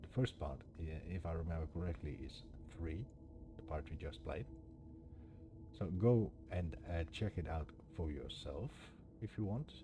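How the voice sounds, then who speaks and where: A man's voice: sits low, normal speed. A man hosting a game playthrough with casual, musing commentary, often posing questions to the viewer. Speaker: game streamer and host. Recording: clear background noise, ambience. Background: ambient noise.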